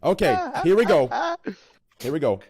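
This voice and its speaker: A man's voice: fairly high pitch, big pitch swings, normal speed, a little mellow, a little hiss or hum. A man hosting a podcast, introducing guests with rambling, self-conscious jokes and lots of fillers. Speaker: podcast host. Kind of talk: casual chat, joking guest introductions.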